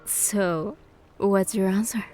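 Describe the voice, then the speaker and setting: An actress playing a curious young woman who narrates her date in a game, gentle, measured and playful. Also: mid-range voice, steady tone, soft background music, natural voice. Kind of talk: scripted first-person game narration and dialogue.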